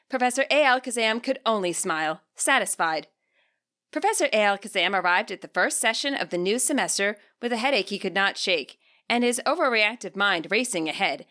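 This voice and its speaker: Woman reading fiction aloud, measured delivery. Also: mid-range voice, sharp tone. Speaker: podfic reader.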